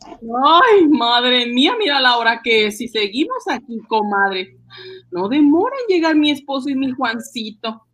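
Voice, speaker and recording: A woman's voice: high-pitched, very animated, a little slow, a bit bright, exaggerated voice. A woman with a Peruvian accent playing a fussy, affectionate housewife and mother in an amateur radio drama, coaxing and exclaiming. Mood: bustling. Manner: exclaiming, repetitive, coaxing, sighing. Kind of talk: amateur Spanish-language radio drama acting.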